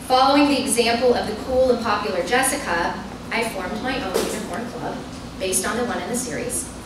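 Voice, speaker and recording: A woman's voice: mid-range voice, a little fast, far from the mic. A woman author reads a humorous personal essay aloud to a live audience at a bookstore event, with wry, self-deprecating, performative storytelling. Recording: echoey, noisy room, recorded from afar.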